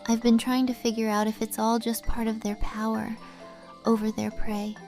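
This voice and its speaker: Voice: medium pitch, monotone, normal speed, a little mellow, faint music behind, voice pushed up, close to an everyday voice. A performer voicing a young, inquisitive, polite girl in a fantasy audio drama, earnest and questioning. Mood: curious.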